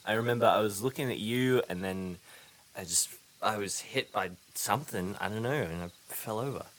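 A man improvising a put-upon, hesitant hiker in an audio roleplay, halting and repeating his opening words. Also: lowish voice, fairly bright tone, close to an everyday voice, rough recording. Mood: uneasy.

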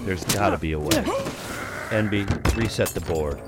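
A man's voice: low voice, normal speed, heavy background noise. A man playing a curt, commanding spaceship captain in an audio drama, speaking in clipped, terse lines. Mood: terse, businesslike. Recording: music under the voice.

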